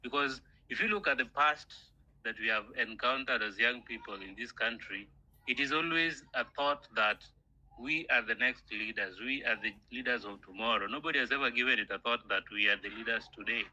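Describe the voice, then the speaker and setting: A man, speaking calmly and softly in an online audio room. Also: mid-range voice, a little fast, poor audio. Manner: calm, soft-spoken. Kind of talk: measured political talk.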